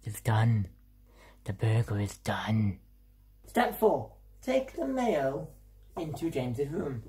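A man's voice: medium pitch, big pitch swings, slow pace, darkish tone, a little hiss or hum. A teenage boy filming himself at home on a phone, playfully announcing each step of making lunch in a mock-serious way. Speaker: vlogger. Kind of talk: playful step-by-step cooking vlog. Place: home kitchen and bedroom, indoors. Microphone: handheld phone camera.

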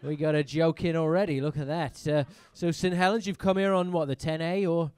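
A young man with a mixed British and American accent working the crowd at a comedy club, firing off teasing questions and swearing casually. His voice sits a little higher than most men's. He talks into a handheld mic on stage. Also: steady tone, darkish tone, clean tone. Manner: teasing, quick banter with the audience, swears. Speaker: stand-up comedian. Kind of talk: stand-up crowd work.

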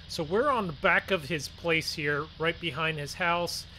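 Vehicle noise runs clearly behind a man with a somewhat high-pitched voice. He hosts a farming game video as casual roleplay. His delivery is chatty and folksy, as if talking viewers through the work. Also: unhurried, bright, forceful tone, rough recording. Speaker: gaming video host. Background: game vehicle engine sounds.

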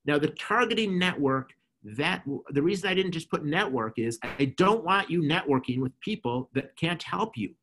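A man's voice: on the high side, lively intonation, normal speed, few pauses, a bit bright. A middle-aged man with a nasal voice, giving earnest, coaching advice as an interview guest over a video call. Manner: earnest, coaching, repeats phrases. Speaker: interview guest, marketing consultant. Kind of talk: explaining advice in an online talk. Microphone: video call webcam.

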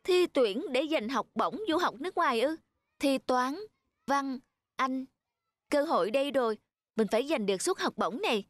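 A woman playing a proud, competitive schoolgirl in an animated cartoon. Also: high-pitched, moderate pace. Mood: determined.

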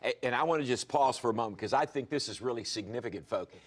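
A man's voice: mid-range voice, quick pace. Older man hosting a faith talk show. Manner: earnest, emphatic, preacherly asides to viewers. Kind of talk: faith TV interview. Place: television studio set, indoors.

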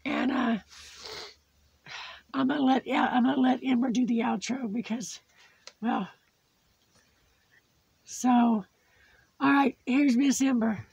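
High-voiced woman losing her voice. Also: steady tone, gravelly, a little mellow, slightly rough, dry recording, lo-fi sound. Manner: slow, chatty, cooing over small crafts. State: losing her voice after surgery. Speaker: crafting channel host. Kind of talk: casual unboxing chat. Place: indoors at a tabletop craft setup.

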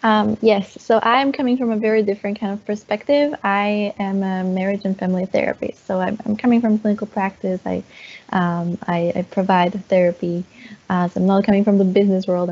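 Woman lecturer, mellow tone, thoughtful restarts, lo-fi call sound. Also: medium pitch, flat delivery. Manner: measured, repeats words while thinking. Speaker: teacher and therapist. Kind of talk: interview answer on a video call. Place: room at home. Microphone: wired earphone mic on a video call. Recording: dull lo-fi video call audio.

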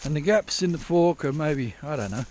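A man with a slightly high voice talks loosely and off the cuff, correcting himself as he wanders. He swears casually, and his voice is picked up by a camera on his head out in the bush. A faint hiss of outdoor noise sits behind him. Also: expressive, normal speed, darkish tone. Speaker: prospecting vlogger. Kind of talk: rambling outdoor walkthrough. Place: bush forest outdoors, old diggings. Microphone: head-mounted action camera.

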